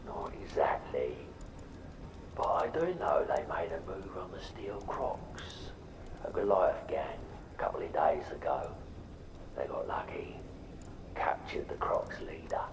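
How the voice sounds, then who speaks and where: An actor playing a shady, knowing male scout and informant in a game cutscene, hushed and conspiratorial, in an echoey space. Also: low-pitched, lively intonation, unhurried, whispered. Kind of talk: video game cutscene acting. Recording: echoey, distant from mic.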